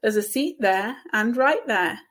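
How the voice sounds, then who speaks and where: A woman reading a story and voicing a brisk, no-nonsense female schoolteacher who firmly instructs a class. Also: fairly high pitch, animated. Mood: firm, commanding. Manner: firm, instructing, loud classroom voice.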